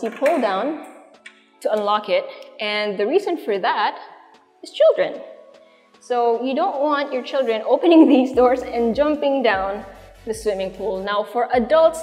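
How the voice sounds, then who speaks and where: A young woman with a Filipino accent presenting a house tour in a casual, conversational way, walking through large empty rooms. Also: a little high. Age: young adult. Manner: chatty, conversational. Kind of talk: casual real estate walkthrough presentation. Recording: long reverb of an empty room. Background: music.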